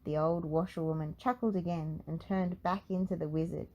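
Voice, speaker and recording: A woman narrating a children's storybook in an expressive read-aloud style. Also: lowish voice, normal speed, dull audio.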